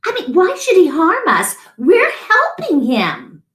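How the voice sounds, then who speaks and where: A woman's voice: very high voice, wildly swooping pitch, takes it slowly, fairly bright tone, pitched above the performer's own voice, heavily acted. A woman voicing an anxious, kindly female mouse in a fretful, pleading way. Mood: puzzled. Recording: roomy echo.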